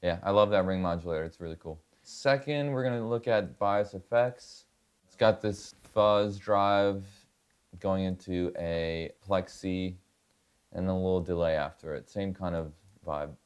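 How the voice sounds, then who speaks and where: Deep-voiced, laid-back man. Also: a little slow, clear, resonant tone. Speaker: guitarist and producer, interview guest. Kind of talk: casual demonstration and chat. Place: home studio.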